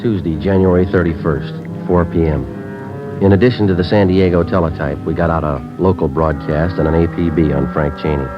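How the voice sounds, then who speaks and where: A man playing a police sergeant who narrates a 1950s radio drama in a flat, clipped, matter-of-fact way. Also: low-pitched, fairly quick, natural voice. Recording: dull old radio recording with music.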